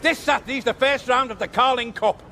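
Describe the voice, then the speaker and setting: A man's voice: extremely high pitch, a little fast, noisy background. A man playing a blunt, blustering English football manager who stammers when flustered, in comedy acting. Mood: rousing, defiant. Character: blustering, exasperated, down-to-earth male English football club manager.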